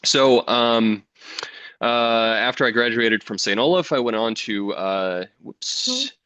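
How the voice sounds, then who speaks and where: A man in his thirties from Wisconsin with an American accent, presenting a physics education webinar in a relaxed, chatty way with many ums. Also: medium pitch, slow, smooth, no room sound. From Wisconsin, United States. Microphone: computer mic on a video call.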